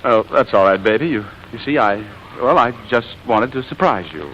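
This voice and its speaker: An actor playing an easygoing, smitten fiancé in 1940s romantic comedy style. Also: male voice, mid-range voice, animated, normal speed, a little hiss or hum, lightly acted. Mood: indulgent. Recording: dull old recording, faint music behind.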